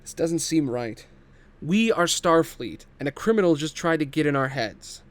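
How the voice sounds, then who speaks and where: A man's voice: fairly high pitch, animated, moderate pace, natural voice. A man voicing a wary, conscientious starship officer who questions things in a sci-fi table read. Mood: doubtful.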